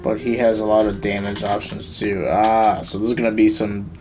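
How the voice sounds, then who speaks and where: A man's voice: fairly low pitch, little pitch movement, normal speed. A man chatting casually as a game streamer, slangy and laid-back. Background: faint game music.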